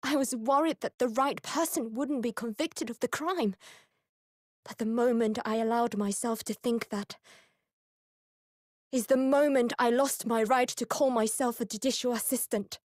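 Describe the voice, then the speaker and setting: A polite, earnest young woman in a video game, played by an actress. She has a high, refined voice and speaks precisely and sincerely, in a dry recording. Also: steady tone, moderate pace, no room sound, natural voice. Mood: remorseful. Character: polite, earnest, devoted young female judicial assistant.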